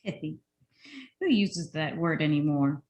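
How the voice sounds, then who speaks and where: A woman playing a sharp-tongued newspaper reporter in a script table read, with a sardonic manner. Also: deep voice. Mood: scoffing. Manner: sardonic, quick-witted.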